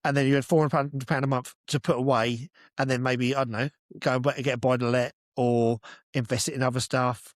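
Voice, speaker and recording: A man's voice: mid-range voice, little pitch movement, a little fast, no room sound, clean studio sound. A British man co-hosting a casual podcast chat, explaining his opinions in a sweary, insistent way and answering his own questions. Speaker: podcast co-host.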